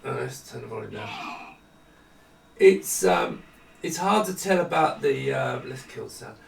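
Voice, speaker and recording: A man's voice: medium pitch, expressive, a little slow, creaky. A man giving a casual, off-the-cuff tech demonstration, thinking aloud in a rambling way. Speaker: product demonstrator. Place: indoor room with retro computers. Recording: roomy echo, distant mic, some music.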